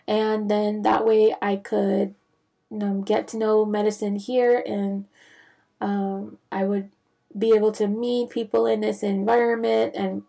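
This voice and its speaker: A young woman from the Bahamas, a physician, telling her career story in a reflective, measured way with frequent fillers. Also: medium pitch, dark tone. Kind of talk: casual personal storytelling.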